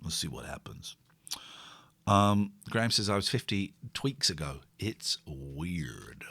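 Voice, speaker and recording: A man's voice: low voice, lively intonation, slow pace, pushed and bright. A man, hosting a casual live stream, rambling and self-mocking as he reads out viewer comments. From England. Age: 40s. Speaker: host.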